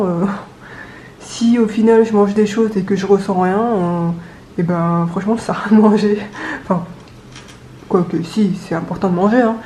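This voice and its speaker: A young adult woman with a cold and a stuffy nose, chatting casually to camera, with many hesitant pauses. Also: mid-range voice, warm tone, reverberant, slight background noise. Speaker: budgeting vlogger.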